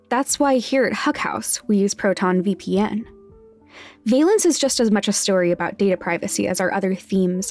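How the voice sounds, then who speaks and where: A woman's voice: medium pitch, a little mellow. A woman reading scripted sponsor messages and listener notices as a podcast announcer. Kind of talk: scripted sponsor read and content warning. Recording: music underneath. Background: background music.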